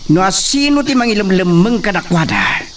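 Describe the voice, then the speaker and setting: An imperious young villain, acted by a man in a radio-style drama, scolds and commands angrily. His high voice swings widely in pitch, over clear insect-like noise. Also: sing-song, normal speed, fairly bright tone. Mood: angry, commanding. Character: angry, domineering young male villain, a rich master. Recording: noisy with chirping insects.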